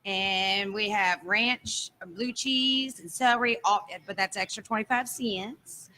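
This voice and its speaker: A woman with a Midwestern American accent, on a live bar show listing the food and drink specials, easygoing and quick to laugh. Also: mid-range voice, bright, forceful tone, a little hiss or hum. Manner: laughs often, friendly and easygoing. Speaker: bar staff announcing specials. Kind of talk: casual live chat.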